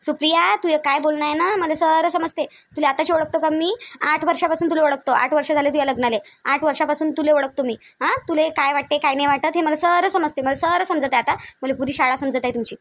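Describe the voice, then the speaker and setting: A woman's voice: high-pitched, steady tone, fast, fairly bright tone, dry recording. A woman voicing a bossy, suspicious older mother-in-law in a cartoon family skit, insistent and repetitive, with a Vidarbha Marathi accent. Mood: aggrieved, scolding. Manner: scolding, insistent, repeats her demands.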